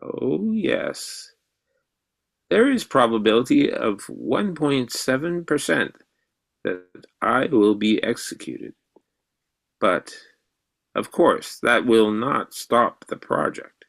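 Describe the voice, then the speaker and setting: An actor playing an elderly, intense mathematician who quizzes his pupil in a deliberate professorial way in a radio drama. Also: medium pitch, big pitch swings, creaky, a bit bright, exaggerated voice. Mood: calm assurance. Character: elderly, intense mathematician mentor, excited and probing.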